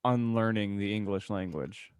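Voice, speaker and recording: A man's voice: lowish voice, normal speed, darkish tone, smooth, no room sound. A man co-hosting a podcast with casual, joking banter. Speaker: podcast co-host.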